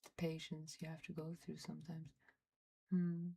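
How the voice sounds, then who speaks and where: A young Norwegian woman streaming live, chatting casually and muttering and swearing at her software as she struggles with it. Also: deep voice, completely flat delivery, moderate pace, gravelly, breathy edge. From Norway. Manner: frustrated, swearing, muttering under her breath. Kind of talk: casual livestream chat while fiddling with software.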